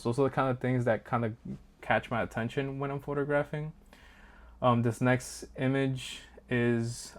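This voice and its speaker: A man hosting his own channel, talking casually through his street photos in a relaxed, rambling way with frequent ums and restarts. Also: medium pitch. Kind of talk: casual talk over a photo slideshow.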